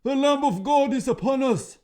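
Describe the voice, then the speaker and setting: A fierce old troll chieftain from a storybook, played by a man, crying out loudly with the voice pushed upward. The words come in short, forceful bursts. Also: very high voice, moderate pace, voice pushed up. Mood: terrified. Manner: loud, menacing cries.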